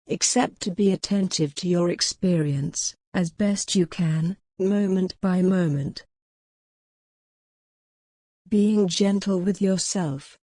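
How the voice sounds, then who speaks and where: A woman leading a guided mindfulness meditation in a gentle, soothing, measured way with long pauses. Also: lowish voice, no echo, studio quality.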